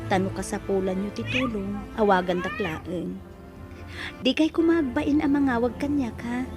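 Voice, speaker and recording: A woman playing a kindly, helpful character in an audio drama, with a gentle, earnest manner. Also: mid-range voice, normal speed, lightly acted. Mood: kind, reassuring. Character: kindly, helpful woman aiding an older couple. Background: background music.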